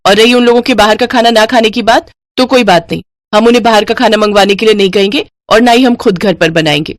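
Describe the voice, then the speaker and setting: A woman voicing a bossy, quarrelsome elder sister-in-law with a sharp-tongued, sarcastic way of scolding, in a family story. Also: on the high side, quick pace, dry recording, clean studio sound, natural voice. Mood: mocking.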